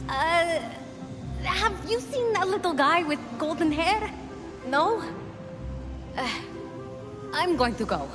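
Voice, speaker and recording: A woman's voice: very high-pitched, sing-song, takes it slowly, pauses often, music in the background, heavily acted. A woman voicing an earnest, plucky young heroine on a quest in a video game cutscene. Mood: earnest, puzzled.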